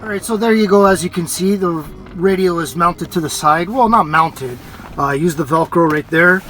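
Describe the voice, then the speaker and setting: A man's voice: high-pitched, normal speed, rough recording. Casual man explaining his truck install. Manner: relaxed, rambling, many filler restarts. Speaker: vlogger installing a CB radio. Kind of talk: casual how-to narration. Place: parking garage beside his truck.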